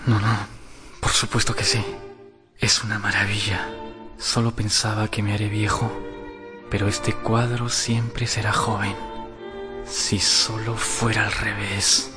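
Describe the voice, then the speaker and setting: Passionate young gentleman over music, played by an actor. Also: fairly low pitch, flat delivery, measured pace, long pauses, natural voice. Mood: troubled wonder. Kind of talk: radio drama acting. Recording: music behind the voice.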